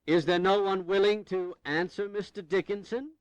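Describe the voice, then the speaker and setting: An actor playing an authoritative presiding officer of a colonial congress, calling out formally in an audio history drama. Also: male voice, high voice, steady tone, normal speed, smooth, no echo, lightly acted.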